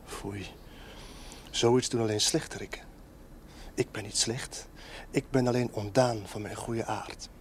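An actor playing an embittered, lovesick young male outcast in a television drama, with a slow, brooding, softly taunting, theatrical delivery. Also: fairly low pitch, steady tone, lightly acted. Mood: brooding, bitter. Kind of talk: 1970s Dutch television drama acting. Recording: noisy old television soundtrack.

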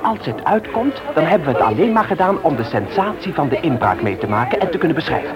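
A man's voice: on the high side, sing-song, fast talker. A young man playing a cocky schemer in a radio play. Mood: eager, scheming. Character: cocky young male schemer planning a burglary.

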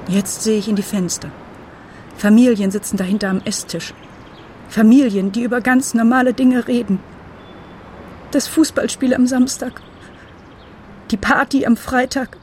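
An actress playing a middle-aged, troubled mother, narrating a radio drama in a measured, introspective way. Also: fairly high pitch, a little mellow, a little hiss or hum, lightly acted. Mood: weary, pained. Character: troubled, watchful mother telling her story. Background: music.

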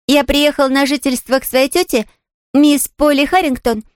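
Woman playing a high-pitched, eager little girl. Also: fairly even intonation, moderate pace, no echo, studio quality, close to an everyday voice. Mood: cheerful. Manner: eager, courteous, artless. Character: polite, chatty, cheerful little girl. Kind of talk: audiobook character voice.

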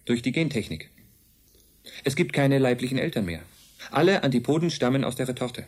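An actor in a German radio drama playing a calm, earnest envoy of an advanced race, speaking in a measured, matter-of-fact way. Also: male voice, mid-range voice, natural voice. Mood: firm, sober.

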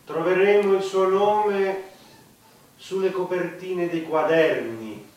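A man's voice: sits high, slow pace, a little mellow. A man playing a sentimental official in a radio play. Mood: fond musing. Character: official musing sentimentally to a president. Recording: very echoey, distant stage sound.

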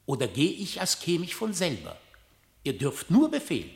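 A man's voice: a little high, wildly swooping pitch, moderate pace, vocal fry, darkish tone, reverberant, full character voice. A man playing an eager, obedient badger in a German verse fable, addressing a king formally and theatrically. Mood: eager, deferential. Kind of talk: dramatised verse fable, German audio play.

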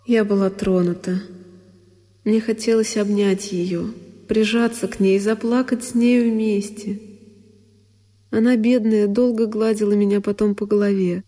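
An actress playing a sorrowful young woman who recalls a lonely childhood, in an intimate, deliberate radio monologue. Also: medium pitch, level tone, dark tone, close to an everyday voice. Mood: wistful, pained. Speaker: solo actress. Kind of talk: dramatic literary monologue for radio. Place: studio radio production. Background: music.

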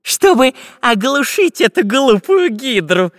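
A man voicing a cowardly, stammering male pirate spy in a squeaky, drawn-out, panicky voice. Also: big pitch swings, fairly bright tone, no room sound, clean studio sound, exaggerated voice. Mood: scheming.

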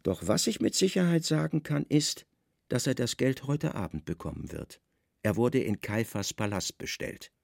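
An actor playing a guarded secret service chief in a radio play, calm, deferential and cunning. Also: male voice, mid-range voice, moderate pace, vocal fry, dry recording, studio quality. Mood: calm deference.

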